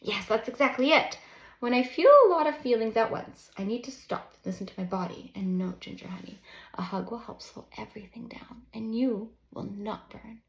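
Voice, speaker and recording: Woman reading as a gentle, overwhelmed mother, expressively lilting. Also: on the high side, lively intonation, moderate pace, vocal fry. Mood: tender, emphatic. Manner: patient, tender, emphatic explaining. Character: gentle but overwhelmed mother explaining to young children. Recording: roomy, echoing sound.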